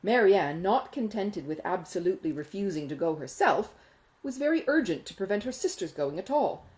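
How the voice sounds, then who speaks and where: Mellow, expressive woman narrator. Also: medium pitch, normal speed, poor audio. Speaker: audiobook reader. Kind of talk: expressive literary narration of a classic novel.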